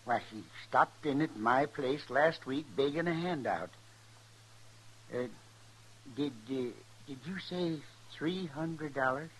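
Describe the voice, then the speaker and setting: An American actor playing a nervous, shifty rancher who hesitates and stammers in an old radio western. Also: male voice, on the high side, slow pace, fairly bright tone. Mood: nervous, evasive. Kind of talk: 1950s radio western acting. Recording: noisy old radio recording.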